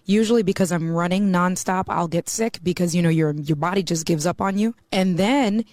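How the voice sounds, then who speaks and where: A woman's voice: lowish voice, a little fast, darkish tone, dry recording. A woman from the East Coast of the US, a mortgage banker talking as a podcast guest in a chatty way, full of "you know.". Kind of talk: casual podcast conversation.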